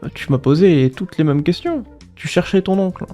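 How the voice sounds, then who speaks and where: A man voicing a frail, forgetful old grandmother in a care home, speaking slowly and hesitantly in a tabletop role-play. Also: high voice, lively intonation, mellow, faint music behind.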